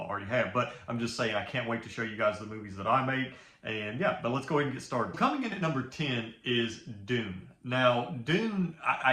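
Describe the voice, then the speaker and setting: A man's voice: mid-range voice, sharp tone, reverberant. A man with a Southern US accent chatting about films in a casual home vlog, rambling and joking at his own expense. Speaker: film vlogger and filmmaker. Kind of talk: casual film-ranking vlog. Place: home office with the window open.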